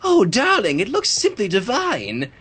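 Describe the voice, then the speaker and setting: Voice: mid-range voice, wildly swooping pitch, unhurried, a bit bright, breathy edge, full character voice. A reader voicing a refined, dramatic female cartoon unicorn with a theatrical, affected manner. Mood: gushing. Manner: theatrical, affected, says darling.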